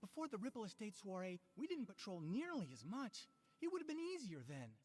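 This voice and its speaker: A woman's voice: mid-range voice, very animated, fairly quick, a little mellow, husky. A woman playing a proud, earnest, easily indignant character in a video game, explaining things emphatically.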